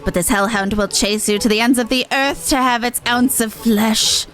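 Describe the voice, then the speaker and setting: A woman voicing a pompous, gleefully macabre demon showman from a game, with a grandiose, drawn-out delivery. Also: fairly high pitch, fairly even intonation, a bit bright, natural voice. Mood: mock terror. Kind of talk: voiced reading of game dialogue.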